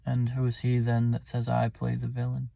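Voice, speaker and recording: A man playing a smooth, scheming villain in a theatrical, deliberate Shakespearean soliloquy. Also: medium pitch, completely flat delivery, warm tone, no echo, natural voice. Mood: sly reasoning. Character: scheming, smooth male villain plotting ruin.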